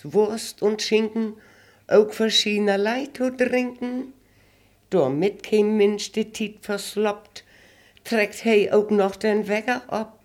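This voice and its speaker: An older woman with a Low German accent slowly reciting rhymed dialect poems in a measured, sing-song way. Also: a little mellow. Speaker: interviewee reciting dialect verse.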